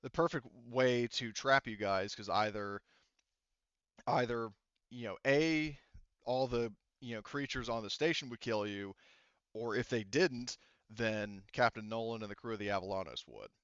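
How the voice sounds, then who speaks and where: A man improvising tabletop game narration as game master, often halting and rephrasing mid-sentence. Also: mid-range voice, a bit bright, clean tone, dry recording, dull audio.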